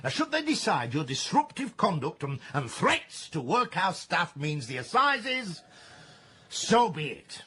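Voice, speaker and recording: Stern workhouse master, husky high voice, swooping indignation, pompous, formal, radio drama. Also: male voice, big pitch swings, unhurried, sharp tone, heavily acted. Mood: fed up, commanding.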